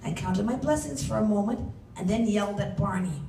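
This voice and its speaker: A woman reading a first-person story aloud as its author, with an animated, dramatic delivery. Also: mid-range voice, fairly quick. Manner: animated, acts out shouted dialogue. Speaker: author reading from their own novel. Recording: roomy, distant mic, faint background noise.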